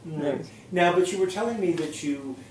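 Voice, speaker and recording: A man's voice: high voice, normal speed, darkish tone, recorded from a distance. Male interviewer in an echoey room. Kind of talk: casual conversational interview. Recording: echoey room, off-mic, faint noise.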